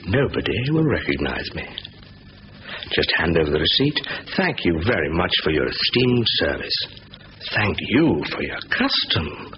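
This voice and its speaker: An actor playing a cool, sly, confident bank thief with playful patter in a 1970s radio drama. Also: male voice, deep voice. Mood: gloating. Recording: muffled, hissy old radio broadcast recording.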